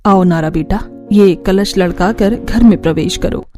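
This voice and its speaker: A woman voicing a kind middle-aged mother-in-law from a family cartoon. The voice is mellow and mid-pitched, motherly and measured, over light music. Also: lightly acted. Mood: reassuring. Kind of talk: cartoon family story dialogue.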